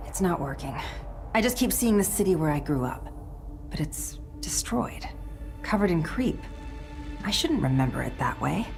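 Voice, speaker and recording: A woman's voice: sits low, very animated, moderate pace, vocal fry, long pauses, over music, heavily acted. An actress playing a cool, determined female covert ops soldier in a video game cutscene. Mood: guarded, uneasy.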